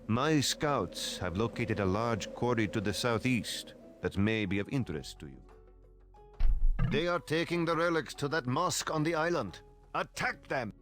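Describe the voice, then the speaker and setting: An actor playing a formal, courteous medieval ally who advises a knight in a strategy game. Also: male voice, mid-range voice, expressive, moderate pace, a bit bright, faint music behind, natural voice. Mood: advising. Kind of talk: scripted game dialogue.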